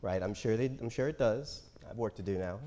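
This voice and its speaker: A man's voice: fairly low pitch, brisk, natural voice. A man playing a smug, entitled, predatory company chief in a staged play reading, recorded with heavy noise. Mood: dismissive politeness. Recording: very noisy recording with clicks.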